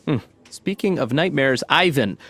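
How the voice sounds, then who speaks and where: An actor playing an anxious, whiny office worker in an audio comedy, worried and hesitant. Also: male voice, on the high side, lively intonation, moderate pace, a bit bright.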